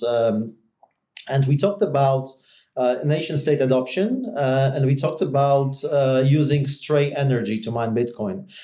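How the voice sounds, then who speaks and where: A man from Poland with a Polish accent, speaking as an interview guest in a measured, halting way. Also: medium pitch, mellow. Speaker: podcast guest. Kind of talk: conversational interview.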